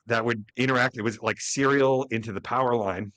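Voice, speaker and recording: A man's voice: medium pitch, steady tone, a little fast, a bit bright. A man in his forties hosting a podcast interview over a video call, chatting casually and tagging his sentences with "right?". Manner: keeps adding "right?" as a tag. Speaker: podcast host.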